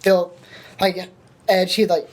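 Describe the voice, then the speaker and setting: A woman's voice: on the low side, little pitch movement, slow pace. A woman chatting casually as a podcast panelist, eager and often restarting her phrases.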